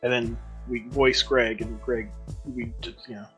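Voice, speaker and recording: A man's voice: mid-range voice, flat delivery, a little slow, a bit bright, poor audio. Male co-host, rambly storyteller, trails off and restarts, light music bed. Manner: rambling, full of uh fillers. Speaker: podcast co-host. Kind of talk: casual livestream chat.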